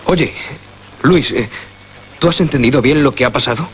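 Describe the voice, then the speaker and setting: A man's voice: medium pitch, normal speed, slight background noise, natural voice. An actor playing an agitated, impatient man in a radio drama, insistent and questioning. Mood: urgent, impatient. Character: agitated, impatient, sceptical man demanding answers.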